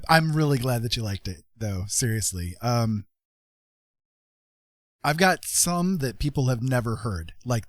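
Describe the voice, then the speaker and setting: Low-pitched man chatting casually on a livestream. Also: dry recording. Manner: rambling, self-deprecating. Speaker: livestream host, author and artist.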